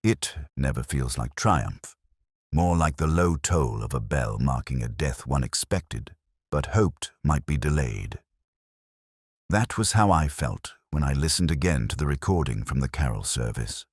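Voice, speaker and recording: A man playing a melancholy, reflective police detective, narrating his case in solemn, deliberate literary phrasing. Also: a bass voice, animated, breathy edge, dry recording, clean studio sound, exaggerated voice. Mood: brooding, measured. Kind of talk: scripted first-person detective story narration.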